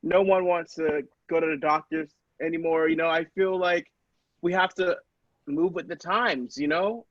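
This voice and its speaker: A salesy doctor-inventor, played by a man, rambling persuasively in an improv comedy sketch. His voice sits a bit high and the recording is dry and slightly muffled. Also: fairly even intonation, moderate pace, no room sound, lightly acted. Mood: persuasive, defensive.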